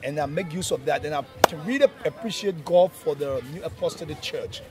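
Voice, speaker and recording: Male orphanage director, 40s, Sierra Leonean accent, high voice, unscripted outdoor interview. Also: brisk. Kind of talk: spoken interview answer.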